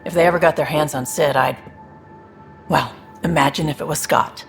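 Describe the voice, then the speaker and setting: A man's voice: fairly high pitch, steady tone, moderate pace, gravelly, faint music behind. An actor playing a guarded, world-weary man in a video game, plain-spoken and wry. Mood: protective, grim. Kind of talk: naturalistic video game acting.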